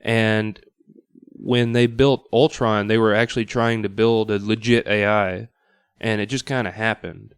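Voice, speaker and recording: A man's voice: on the low side, steady tone, measured pace, smooth, no echo, clean studio sound. A man on a podcast panel, talking informally and explaining things through examples. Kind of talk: casual panel discussion. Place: studio.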